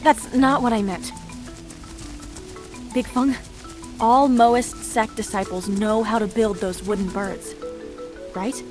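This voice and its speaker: An actress playing a plucky, pushy young martial hero in a video game, with an upbeat, coaxing way of talking. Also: female voice, a little high, fairly even intonation, slow, pauses often. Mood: scheming. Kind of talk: dramatic game cutscene acting. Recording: music behind.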